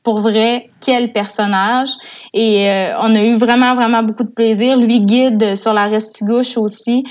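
A woman with a Quebec accent and a fairly high voice speaks as a guest in a relaxed interview. She tells her stories conversationally, with plenty of enthusiasm. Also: measured pace. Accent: Quebec French. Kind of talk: casual conversational storytelling.

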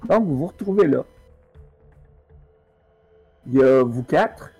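A man, narrating calmly as game master of a tabletop game. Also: medium pitch, slow pace, breathy edge, dull audio, soft background music.

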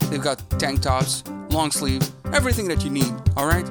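A man's voice: a little high, expressive, moderate pace, loud music behind the voice. A man hosting a podcast, talking casually to his listeners and introducing the show. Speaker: podcast host.

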